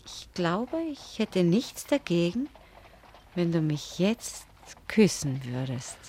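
Radio drama: earnest, affectionate young wife; lowish pitch, expressive swings, confiding delivery. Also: female voice, takes it slowly, slight background noise. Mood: hesitant, tender. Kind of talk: German radio play acting.

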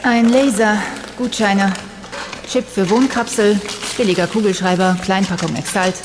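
A woman's voice: medium pitch, steady tone, measured pace, close to an everyday voice. An actress playing a hard, zealous guerrilla henchwoman in a radio drama, with a curt, businesslike delivery.

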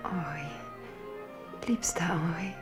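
An actress playing a tormented young princess in love, breathy and imploring, in a German radio play. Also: female voice, lowish voice, fairly even intonation, slow, music in the background. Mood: tender. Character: young princess Margot, tormented secret lover.

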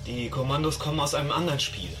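A calm, dry man played by an actor as an intelligence agent in a radio drama. His delivery is measured and factual, mid-pitched, with music clearly behind. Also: natural voice. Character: calm matter-of-fact male agent reporting intelligence. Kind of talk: German radio drama acting.

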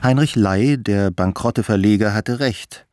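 A man's voice: lowish voice, unhurried, darkish tone, natural voice. A man reading scripted literary narration in a darkly comic radio play. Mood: wry narration.

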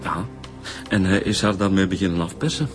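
Actor as keen low-voiced Flemish detective. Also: male voice, fairly quick, soft background music, close to an everyday voice. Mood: eager theorizing. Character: keen male detective inspector setting out his findings. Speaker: actor in a radio police serial. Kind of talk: radio drama dialogue.

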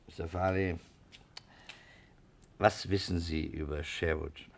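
An actor playing a courteous, shrewd detective who speaks with polite, deliberate probing in a 1960s radio crime drama. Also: male voice, low-pitched, steady tone, vocal fry, heavily acted. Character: shrewd, courteous male detective quietly cornering a suspect.

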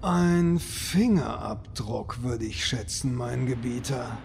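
A man playing a servile fantasy minion in a parody audio drama, obsequious yet slyly deadpan. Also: mid-range voice, slow, creaky, a little hiss or hum. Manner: obsequious, deadpan, muttered asides. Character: servile, slow-spoken minion with sly dry wit.

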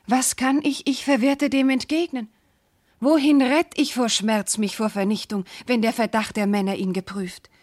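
An actress playing a devoted, anguished noble wife in a classical radio drama, declaiming formal verse with fervour. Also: female voice, a little high, steady tone, moderate pace, darkish tone, close to an everyday voice. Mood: distraught. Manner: declamatory, fervent, formal diction. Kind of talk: classical German stage verse in a radio play.

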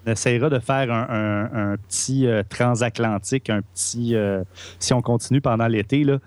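A man's voice: medium pitch, fairly even intonation, normal speed, darkish tone, dry recording. Québécois guy hosting a tech podcast, casual chat, lots of euhs and restarts. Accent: Québécois French. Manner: hesitant, many fillers and restarts. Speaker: podcast host.

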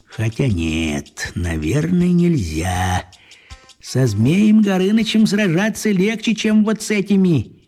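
An actor playing a kindly old wizard who poses as a postman, talking in a breathy, musing voice with swooping pitch. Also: expressive, slow pace, a little mellow, soft background music, full character voice. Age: older. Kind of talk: radio play acting.

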